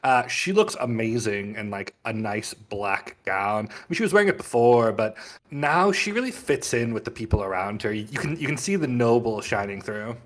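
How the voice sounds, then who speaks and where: A man's voice: mid-range voice, lively intonation, normal speed. A man with a mid-range voice works as a game master. He narrates a tabletop roleplaying game, describing characters and scenes with dramatic, descriptive phrasing.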